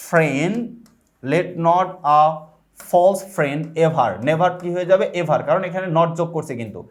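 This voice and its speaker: A man with a Bangladeshi accent speaks in a high register at an unhurried, slow pace. He instructs step by step, stretching out example sentences word by word. He asks short questions and supplies the answers himself. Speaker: English grammar teacher. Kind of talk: lesson narration, step-by-step exercise walkthrough.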